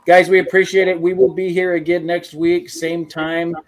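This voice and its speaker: A man hosts a live talk show with a fairly high voice. He sounds upbeat and effusive and keeps cracking jokes as he thanks everyone. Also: little pitch movement, moderate pace. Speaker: podcast host. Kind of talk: casual live show chat.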